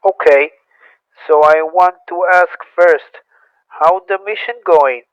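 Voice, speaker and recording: A man's voice: a little high, slow pace, clear, resonant tone, no room sound, muffled recording. A man voicing a fed-up young wife. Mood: wary. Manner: pleading, emotional, scolding. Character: young woman, worried, fed-up wife and mother.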